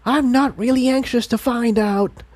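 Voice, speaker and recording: Man putting on a high, worried girl pony. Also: fairly even intonation, unhurried. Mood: hissing, grumbling. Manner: hushed, hissing, grumbling. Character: wary, worrying female pony, hissing and grumbling.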